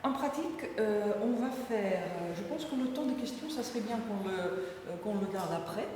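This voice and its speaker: Catalan-native woman author, halting lecture delivery, distant mic, faint room ambience. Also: medium pitch, animated, a little mellow, a little hiss or hum. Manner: hesitant, many fillers and restarts. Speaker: author presenting her book. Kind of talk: lecture-style book presentation. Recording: echoey hall from far off.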